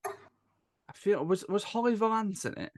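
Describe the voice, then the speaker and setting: A man's voice: high voice, animated, unhurried, darkish tone. A man hosting a podcast, steering the discussion with casual banter. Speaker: podcast host running the ranking.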